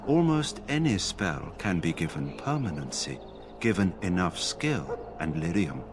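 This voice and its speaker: A man playing an emotionless mage in a fantasy game, speaking in a formal, detached, measured manner. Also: deep voice, big pitch swings. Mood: detached calm. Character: emotionless branded male mage, calm enchanter stripped of feeling. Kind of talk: video game dialogue. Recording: game music loud behind the voice.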